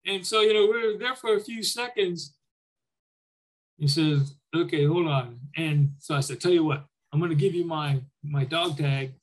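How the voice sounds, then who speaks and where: An older man with a somewhat high voice talks like a veteran looking back on his service. He tells it informally and conversationally, slipping into the dialogue he remembers. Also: moderate pace, poor audio. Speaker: military veteran, former Army air traffic controller, interviewee. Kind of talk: conversational war reminiscence.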